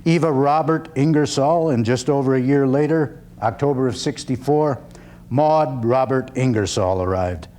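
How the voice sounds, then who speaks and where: A man playing a proud, wry 19th-century freethinking orator in a dramatic one-man stage reading, with deliberate, declamatory phrasing. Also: mid-range voice, warm tone, close to an everyday voice. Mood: reminiscing, proud. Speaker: actor performing a one-man play.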